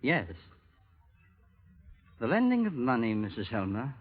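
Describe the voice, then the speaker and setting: A man playing a stern, guarded moneylender in a 1930s radio drama, speaking in a measured, grave way. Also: medium pitch, huge pitch swings, husky, heavily acted. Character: guarded, stern male moneylender in 1890s Norway. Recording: muffled old 1930s radio recording.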